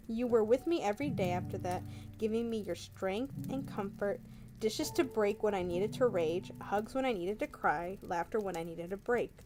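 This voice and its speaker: A woman's voice: mid-range voice, moderate pace, natural voice. A woman reading the part of a grateful, earnest young man confessing his love in a romance story, in a heartfelt manner. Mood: tender, sincere. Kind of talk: romance novel read aloud.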